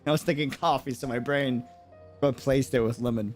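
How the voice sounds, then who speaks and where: A man's voice: mid-range voice, steady tone, moderate pace. A man chatting casually and musingly on a game livestream in slangy speech, with game music behind him. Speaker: game streamer.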